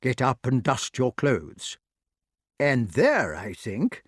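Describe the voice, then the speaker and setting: Audiobook part: calm, knowing elderly magician, read by a man, mid-pitched, stately and dry. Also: slow, slightly rough, no room sound, full character voice. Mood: satisfied. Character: composed, mysterious old male wizard. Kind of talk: audiobook character voice.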